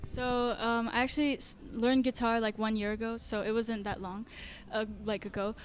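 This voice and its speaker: A woman's voice: on the high side, level tone, moderate pace, slight background noise. A teenage girl from Singapore, a young singer answering interview questions in a shy, hesitant way with many fillers. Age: teens.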